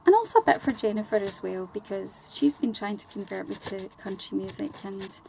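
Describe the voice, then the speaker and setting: A woman's voice: mid-range voice, a little fast, gravelly, dark tone, a little hiss or hum, poor audio. A woman talking conversationally as a guest in a radio interview, explaining things in an earnest way. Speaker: guest, charity volunteer and community ambassador.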